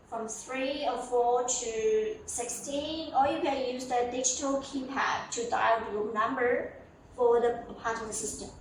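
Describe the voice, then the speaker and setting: Woman, high voice, halting sales walkthrough, simple repeated phrases, strong room echo, faint background noise. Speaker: company sales representative giving a showroom tour. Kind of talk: spoken product presentation, showroom walkthrough. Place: indoor product showroom. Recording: echoey room, distant mic, faint noise.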